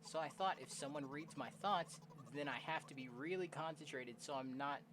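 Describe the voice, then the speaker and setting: A young woman casually commenting on a game and reading its text aloud in a slangy, expressive way. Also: deep voice, moderate pace, background noise. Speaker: streamer playing a game. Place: own room at home.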